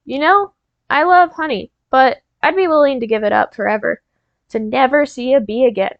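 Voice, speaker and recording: A woman's voice: high-pitched, normal speed, vocal fry, no echo, muffled recording. A woman voicing a skeptical, nervous child from a picture book, protesting dramatically. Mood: reluctant. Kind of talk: storybook character reading.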